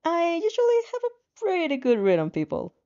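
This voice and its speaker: A woman's voice: extremely high pitch, wildly swooping pitch, moderate pace, warm tone, dull audio, pitched above the performer's own voice, exaggerated voice. A woman voicing a flustered, sheepish young protagonist who stammers and pleads insistently in a fan fiction reading. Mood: sheepish insistence.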